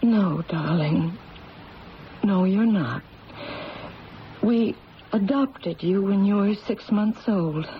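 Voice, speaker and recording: Woman playing loving anguished mom in old radio play, slow and halting, muffled hissy sound. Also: medium pitch, close to an everyday voice. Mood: resigned, tender. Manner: halting, emotional, tender. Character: loving, anguished adoptive mother of a grown daughter. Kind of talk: 1970s radio drama acting.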